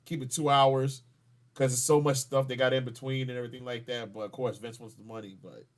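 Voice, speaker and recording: A man in his thirties hosting a casual wrestling chat, excitable and slangy, with plenty of swearing. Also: mid-range voice, a little fast. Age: 30s. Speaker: podcast host.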